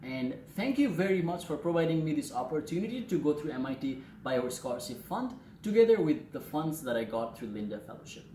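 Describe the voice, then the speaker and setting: A man's voice: on the high side, sing-song, brisk, hardly any pauses, dark tone. Young Nepali-accented man in an echoey room. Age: 20s. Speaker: student speaker. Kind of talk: prepared personal presentation. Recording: echoey room with faint noise.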